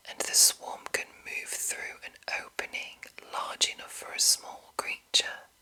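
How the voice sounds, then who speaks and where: A woman whispering an ASMR reading in a breathy, unvoiced voice, with slow and deliberate phrasing. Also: bright, forceful tone, low-quality recording. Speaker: ASMR host reading a monster manual.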